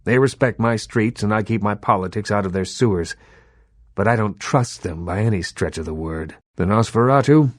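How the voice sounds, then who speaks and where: A man plays an old vampire lord who rules Hollywood in a video game. His voice is low and closely recorded with a dry sound. He speaks with measured eloquence, imperious and condescending, like one used to being obeyed. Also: dry recording, natural voice. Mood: reflective. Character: imperious old male vampire baron, Hollywood film mogul. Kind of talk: video game character dialogue.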